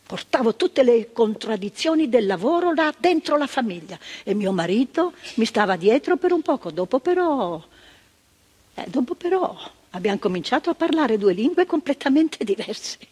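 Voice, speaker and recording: A woman's voice: on the high side, sing-song, normal speed, a little mellow, husky. An older actress playing a woman psychiatric worker who talks in a reflective, searching way, repeating words for weight. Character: earnest woman psychiatric worker recalling the past. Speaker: actress in a stage monologue. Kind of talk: theatrical monologue. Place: theatre stage. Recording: stage performance with long hall reverb.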